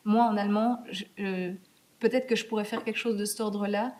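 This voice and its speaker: A woman from Switzerland, speaking as a guest author at a university talk. She answers in a hesitant, searching way, full of fillers, restarts and light laughter, at an ordinary middle pitch. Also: steady tone, a little fast, warm tone. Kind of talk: conversational Q&A answers. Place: university meeting room.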